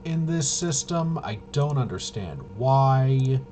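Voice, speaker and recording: A man casually rambling through tabletop game rules, haltingly, often trailing off and correcting himself. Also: mid-range voice, faint music behind. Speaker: host. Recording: clear steady background noise.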